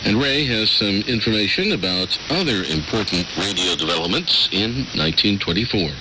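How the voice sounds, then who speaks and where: A man's voice: fairly low pitch, animated, moderate pace. A man hosting a radio programme with scripted announcements and narration, heard over a shortwave broadcast. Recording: noisy shortwave radio reception.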